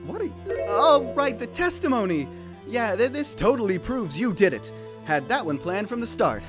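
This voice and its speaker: Animated, cocky rookie lawyer played by a man. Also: high-pitched, moderate pace, over music. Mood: bumbling, bluffing. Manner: flippant, sarcastic, stammering bravado. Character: cocky, dim, bumbling young male rookie defense attorney. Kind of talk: comedic parody voice acting.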